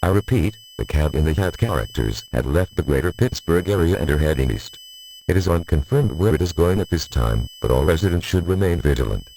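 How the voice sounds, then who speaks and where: A man's voice: deep voice, animated, a little fast, warm tone, a little hiss or hum, low-quality recording. A man reading a scripted emergency broadcast announcement in a formal, official manner.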